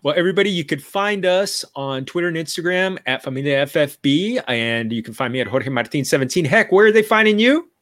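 A middle-aged man hosting a casual podcast chat, animated, tossing in Spanish exclamations, often restarting sentences. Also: a little high, brisk, hardly any pauses. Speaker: podcast host.

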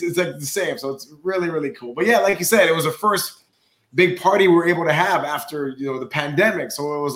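Hoarse male co-host, easygoing, tense bright edge, slightly high pitch. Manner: laid-back, heavy "like" and "you know" fillers. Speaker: podcast co-host. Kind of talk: casual chat. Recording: echoey room.

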